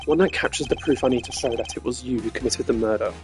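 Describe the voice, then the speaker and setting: A man's voice: medium pitch, fast talker, music in the background, close to an everyday voice. A man voicing a determined, composed prosecutor in a video game, speaking formally and articulately.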